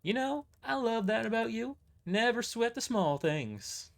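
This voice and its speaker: Man acting older woman, high lilting voice, rural twang, doting, chatty, audio drama. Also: sing-song, measured pace, vocal fry, heavily acted. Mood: sweet, chatty. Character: aged blonde receptionist woman, chatty, folksy, doting. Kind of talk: amateur audio drama character voice.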